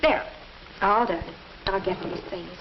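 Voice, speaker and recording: A woman's voice: medium pitch, unhurried, natural voice. A young American woman playing a pushy, confident teenage girl, insistent and gushing, in a 1950s educational film. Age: young adult. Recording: dull, noisy old film soundtrack.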